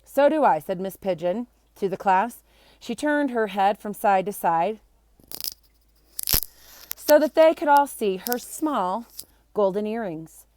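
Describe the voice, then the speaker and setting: A woman's voice: mid-range voice, animated, slow, long pauses, dark tone. A woman reading a children's book aloud, expressively acting out the characters and adding short explanatory asides. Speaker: storybook reader.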